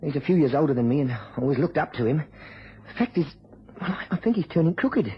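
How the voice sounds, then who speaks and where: An earnest, anxious young English policeman in an old British radio play. His delivery is lively and swinging, with hesitant, deferential turns. The recording is dull with faint noise. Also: fairly high pitch, fast. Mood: worried. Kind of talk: vintage British radio drama acting.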